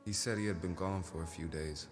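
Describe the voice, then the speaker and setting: A man playing an awkward, reticent friend in grief in a stage drama, hesitant and gentle. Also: sits low, steady tone, fairly quick, music in the background, natural voice. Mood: hesitant, uneasy. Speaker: actor in a stage play reading.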